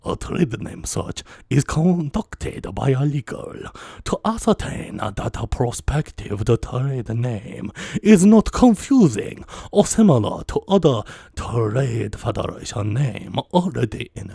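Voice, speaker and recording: Pompous, scheming sci-fi alien viceroy played by a man: formal, stilted, easily flustered, lively pitch swings. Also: creaky, breathy edge, no echo, studio quality, exaggerated voice.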